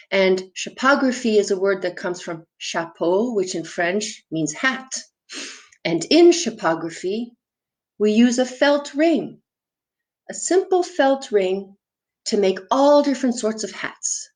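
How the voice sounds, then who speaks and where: A woman presenting to young viewers as a children's storyteller, explaining props and puppets simply and putting questions to them. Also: on the high side. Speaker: children's storyteller and theatre performer.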